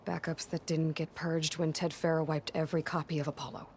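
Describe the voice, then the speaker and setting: An actress playing a determined, suspicious young outcast warrior in a video game, with a dry, blunt, sarcastic and guarded delivery. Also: female voice, low-pitched, level tone, a little fast, slight background noise. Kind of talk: video game cutscene acting.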